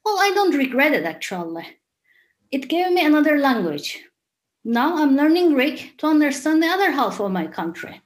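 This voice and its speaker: Casting: young woman, high voice, earnest and indignant testimony. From Cyprus. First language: Turkish. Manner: earnest, indignant, rhetorical questions. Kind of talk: scripted personal testimony.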